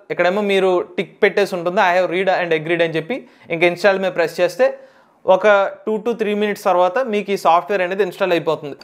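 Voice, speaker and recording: Man, Telugu accent, high voice, quick delivery, tutorial host, English tech words mixed in. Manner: instructional, mixes English tech words into speech. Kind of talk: step-by-step software tutorial narration.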